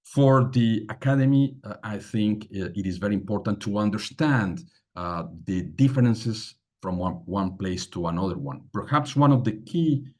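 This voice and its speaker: A man speaking as a panelist, halting with many fillers and pressing key points. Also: on the low side, animated, a little mellow. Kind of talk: panel talk on migration policy.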